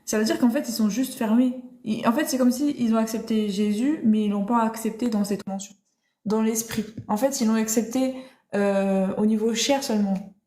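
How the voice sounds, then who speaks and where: Woman host, live-stream Bible chat, mellow tone, halting and searching, echoey room. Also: a little high, steady tone. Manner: thinks aloud with many restarts and fillers. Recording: roomy echo.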